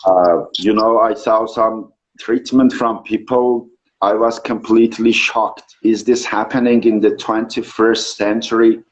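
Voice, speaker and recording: Interviewee, man from Iran, Turkish first language, lower voice, earnest, lo-fi sound. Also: steady tone, a little slow. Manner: earnest, searching for words with many fillers. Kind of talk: casual interview talk.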